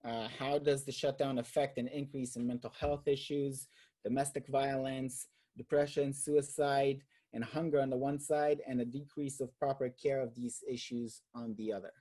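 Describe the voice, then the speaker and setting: A man's voice: mid-range voice, level tone, normal speed, dark tone. A man from Israel with an Israeli accent, hosting a roundtable discussion in a thoughtful, hesitant way, repeating words as he searches for them. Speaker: roundtable host. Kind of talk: casual discussion.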